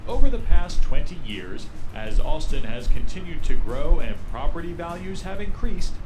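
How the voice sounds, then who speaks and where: A man's voice: on the high side, very animated, moderate pace, low-quality recording. A man reading a scripted book excerpt as narration. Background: music.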